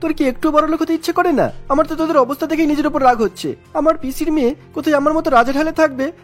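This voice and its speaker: A greedy cartoon swindler man played by a woman talks quickly in a high voice. He is bossy and smug, coaxing like a con man, with music playing underneath. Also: fairly even intonation, natural voice. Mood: coaxing, sly. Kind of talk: cartoon character acting.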